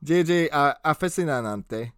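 A man co-hosts a chatty podcast with a slightly high, lively voice. He banters casually, teasing and swearing freely, and the sound is dry and close. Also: a little slow, clear, resonant tone, no room sound. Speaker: podcast co-host.

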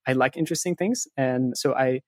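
A man's voice: medium pitch, fairly quick, no room sound. Man explaining conversationally, dry close sound. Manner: explanatory, often checking in with a tag question. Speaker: interviewee, content writing professional.

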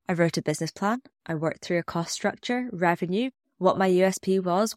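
A woman's voice: mid-range voice, no room sound, studio quality. A woman with a cold, sounding a bit snuffly, hosting her own podcast and reflecting candidly in a scripted solo talk. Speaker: podcast host.

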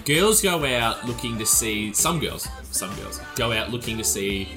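A man's voice: mid-range voice, expressive, measured pace. A young man chatting on a podcast, his voice swinging up and down a lot as he jokes and laughs. Music plays underneath the talk. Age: 20s. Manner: laughing, swearing banter. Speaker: podcast host. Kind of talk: casual chat.

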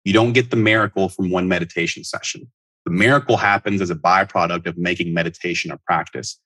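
Low-voiced, husky man coaching earnestly. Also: steady tone, quick pace, pushed and bright, no echo. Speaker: podcast host and life coach. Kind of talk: motivational self-help monologue, scripted.

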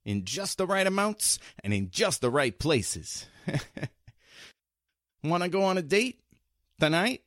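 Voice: mid-range voice, sing-song, slow pace, pauses often, fairly bright tone, no echo, clean studio sound. A performer playing a flirtatious, cocky male delivery messenger in a 1940s-style radio drama, teasing and smarmy.

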